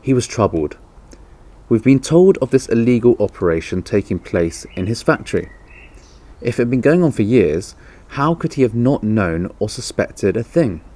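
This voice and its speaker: A man reading the part of a troubled, reasoning uncle in a children's storybook, deliberate and emphatic. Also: mid-range voice, big pitch swings, warm tone, pitched above the performer's own voice. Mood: concerned.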